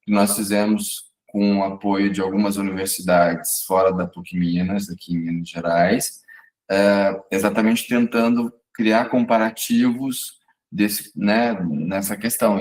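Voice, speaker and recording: A man's voice: on the low side, a little mellow, recorded from a distance. A man from Brazil, a professor, speaking in a hesitant, casual way with restarts over a video call. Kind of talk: casual conference remarks.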